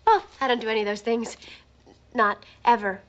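An actress playing a guarded young high school student in a television drama. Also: female voice, fairly even intonation, moderate pace, highs cut off, close to an everyday voice.

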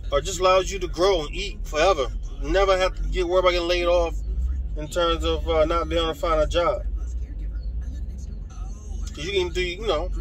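A man's voice: high-pitched, a bit bright, poor audio. A man with a New York accent gives a casual motivational talk as a tradesman, slangy and emphatic, repeating his phrases and checking for agreement. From New York, United States. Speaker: HVAC tradesman and channel host.

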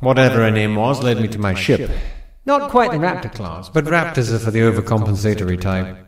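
A man playing a gleefully sadistic pony airship commander in an audio drama, theatrical and full of drawn-out, mocking politeness. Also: on the low side, very animated, dry recording, studio quality. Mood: smug, playful.

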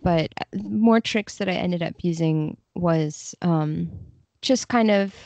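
A woman's voice: lowish voice, slow, creaky, darkish tone, no echo. A woman speaking casually as a guest in an interview, explaining her work. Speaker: podcast guest, literary translator.

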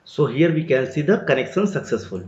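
A man teaching a screen-recorded software tutorial, explaining each step as he clicks through an interface. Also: on the high side, lively intonation, brisk. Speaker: tutorial instructor. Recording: roomy, dull lo-fi sound.

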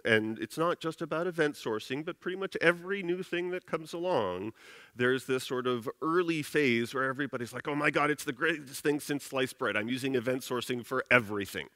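A man's voice: medium pitch, fairly bright tone, no echo. Casual middle-aged man giving a keynote. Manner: casual, conversational, frequent "uh" fillers. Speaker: conference keynote speaker. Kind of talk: conference keynote talk. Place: large conference stage. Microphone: headset mic.

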